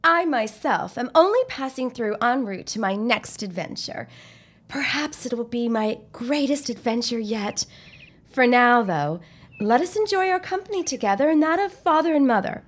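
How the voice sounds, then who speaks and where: An actress playing a poised, dramatic young debutante elder sister with airy, formal diction in a period audio drama. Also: female voice, on the high side, animated, normal speed. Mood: airy, affectionate. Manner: airy, affected, formal old-fashioned diction.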